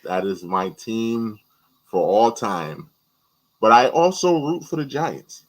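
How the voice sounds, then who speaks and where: A man from New York joins a podcast as a guest, talking animatedly and stumbling over repeated words as he goes. His voice sits fairly low, and he laughs along with the host. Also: expressive, slow, low-quality recording. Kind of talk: casual chat.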